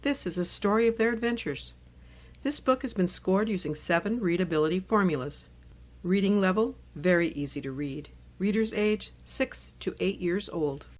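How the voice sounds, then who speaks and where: A woman reading a children's chapter book aloud as narrator, in clear, simple phrases meant for young listeners. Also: mid-range voice, lively intonation, normal speed. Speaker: storybook narrator.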